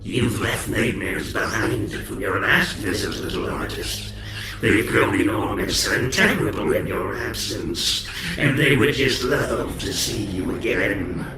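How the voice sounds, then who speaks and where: A man's voice: on the low side, expressive, unhurried, reverberant, background noise. A man voicing a menacing nightmare creature with a taunting, sinister manner in a horror audio drama.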